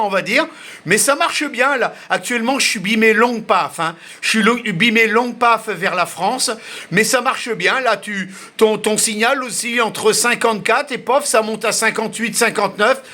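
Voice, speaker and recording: A man's voice: high-pitched, pushed and bright. A man chatting casually as an amateur radio operator, talkative and often repeating himself. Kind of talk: casual ham radio contact, unscripted.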